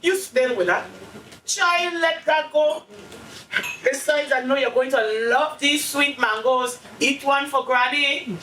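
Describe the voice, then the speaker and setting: A woman playing a stubborn, sharp-tongued elderly Caribbean grandmother in a stage play, blunt and scolding. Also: high voice, slow, heavily acted. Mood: bossy, coaxing. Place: indoor stage, mic far from actors. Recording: roomy, distant stage recording with noise.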